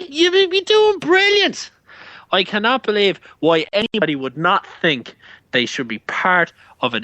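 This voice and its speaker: A man's voice: high-pitched, bright, forceful tone, no echo. A man with an Irish accent, ranting heatedly and full of swearing in a casual show chat. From Ireland. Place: Canada.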